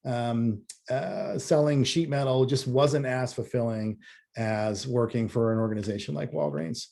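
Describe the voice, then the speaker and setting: Male creative director, from Massachusetts, mid-range voice. Informal presenter, halting and hesitant. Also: medium pitch, vocal fry, a little mellow. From US East Coast, Massachusetts. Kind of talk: informal self-introduction presentation.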